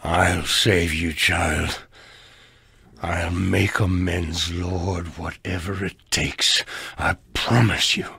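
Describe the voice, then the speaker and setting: An actor playing an old, grim and tormented gunslinger preacher in a western game, gravelly, solemn and biblical in his delivery. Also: low voice, little pitch movement, pushed and bright, no echo, full character voice. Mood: anguished. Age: older. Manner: solemn, biblical, slow and weighty. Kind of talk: western video game acting.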